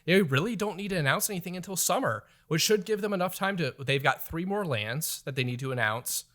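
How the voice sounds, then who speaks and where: A man's voice: a little high, animated, fairly quick, fairly bright tone. A man co-hosting a podcast in casual chat, rambling through his theories. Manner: rambling, speculative, laid-back. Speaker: podcast co-host.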